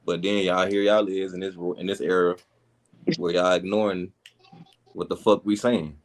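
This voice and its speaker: A man's voice: deep voice, flat delivery, normal speed. An American man speaking informally as a panelist in a casual discussion.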